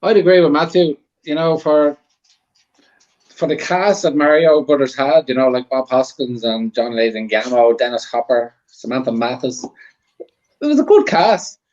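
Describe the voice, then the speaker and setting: A man's voice: mid-range voice, animated, moderate pace, a little mellow. A man sharing casual opinions as a guest on an online film panel. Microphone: online group call. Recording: dull online-call audio.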